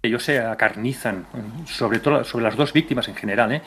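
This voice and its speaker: A middle-aged man recalling events in an interview as a police officer, haltingly, with repeated words. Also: mid-range voice, fairly bright tone. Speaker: police commissioner giving testimony. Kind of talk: spontaneous interview recollection. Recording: faint ambient noise behind interview.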